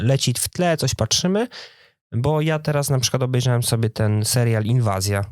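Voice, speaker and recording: A man's voice: mid-range voice, darkish tone, dry recording. Man in his thirties, dry close recording. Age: 30s. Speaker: podcast co-host. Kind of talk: casual unscripted chat, explaining and recommending.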